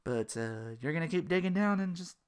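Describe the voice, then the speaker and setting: A podcast host giving casual, exasperated and sarcastic commentary in an animated way, swearing freely. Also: mid-range voice, brisk, clear, resonant tone. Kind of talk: casual ranting commentary about an internet personality.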